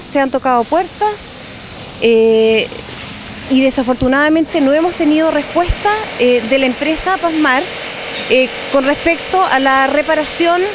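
A middle-aged woman gives a street interview in a formal tone, pausing on fillers between phrases. Her voice is quite high, and clear traffic noise runs behind her outdoors. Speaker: interviewed resident. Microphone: handheld reporter microphones.

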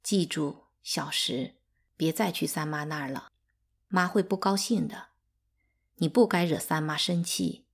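A gentle, weary mother from a storybook, played by a woman reader. She speaks softly and slowly, with sad resignation in a measured delivery. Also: low voice, breathy edge, heavily acted. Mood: gentle sorrow. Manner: soft, slow, measured.

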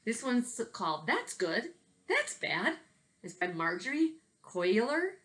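A woman, talking casually and reading to children in an animated, expressive way, like an elementary teacher. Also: a little high, takes it slowly. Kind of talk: casual video message and children's read-aloud. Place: small bedroom at home, indoors. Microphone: laptop webcam at desk distance.

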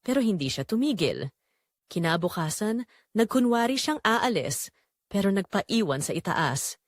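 A woman reading scripted dramatic story narration in short, measured, suspenseful phrases. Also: mid-range voice, no echo, studio quality.

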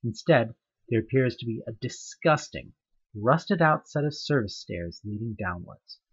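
A man's voice: medium pitch, lively intonation, moderate pace, breathy edge, lo-fi sound. Man narrating horror in second person. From United States. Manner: tense, dramatic storytelling with rising questions. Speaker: narrator of a fan audioplay.

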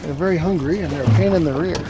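A man's voice: on the high side, quick pace. A middle-aged man, a fishing guide, explaining lures and trolling in a matter-of-fact way from a small boat outdoors on a lake, on a lapel mic. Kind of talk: on-the-water fishing show explanation. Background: background music.

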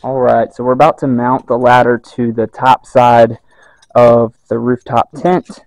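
A man calmly explaining how to mount a rooftop tent, step by step. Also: mid-range voice, unhurried, dry recording, rough recording. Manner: calm, methodical.

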